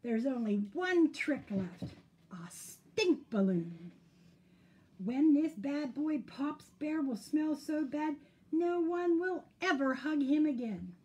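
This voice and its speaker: A woman's voice: high voice, expressive, takes it slowly, darkish tone, voice pushed up. A woman voicing a grumpy, scheming male storybook skunk in an indignant, emphatic manner. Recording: noticeable background noise.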